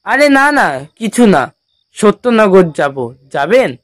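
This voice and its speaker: A man's voice: high voice, sing-song, slow pace, no room sound, clean studio sound, heavily acted. A man voicing an anxious, devout male traveller in a horror cartoon, muttering and pleading fretfully. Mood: puzzled. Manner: worried muttering to himself, pleading.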